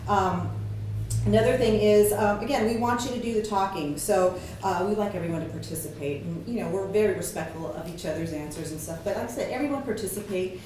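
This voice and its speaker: A woman's voice: mid-range voice, fairly quick, darkish tone, slight background noise. A woman moderating a focus group, explaining the ground rules in a chatty way with many fillers and restarts. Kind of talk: casual group briefing. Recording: echoey hollow room, mic at a distance.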